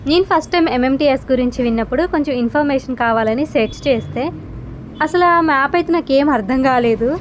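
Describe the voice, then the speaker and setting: A woman's voice: high-pitched, quick pace, muffled recording, a little hiss or hum. A young adult woman, narrating an informal travel vlog and mixing in English terms. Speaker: travel vlogger.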